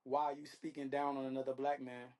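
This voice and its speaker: A man giving casual answers as an interview guest. His mid-pitched voice stays very even, and he talks in loose slang with frequent check-in phrases. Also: fast. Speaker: interview guest, reality dating show contestant.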